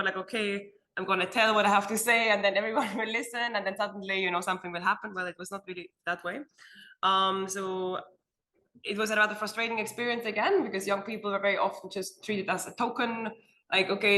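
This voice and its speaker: A woman's voice: medium pitch, bright, forceful tone. A young woman from Switzerland giving an earnest panel talk in a conference room, often using fillers like "um" and "like". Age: young adult. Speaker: panel speaker. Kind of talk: roundtable talk.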